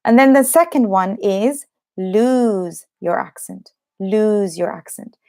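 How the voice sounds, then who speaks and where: A young British woman in her twenties or thirties teaching a live English lesson. She has a slight cough and explains slowly and chattily, repeating her points and filling pauses with "um". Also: mid-range voice, a little mellow. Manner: chatty, explains slowly with repetition and many fillers.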